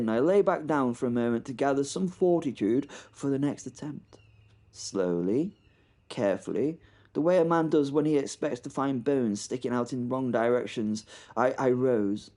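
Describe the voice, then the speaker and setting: Man as a bumbling WWI airman, stammering and wry, mellow middle voice. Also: mid-range voice, animated, moderate pace, close to an everyday voice. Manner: flustered, self-mocking, stammering. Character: plucky British WWI pilot with a moustache. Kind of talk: comic first-person audio drama narration.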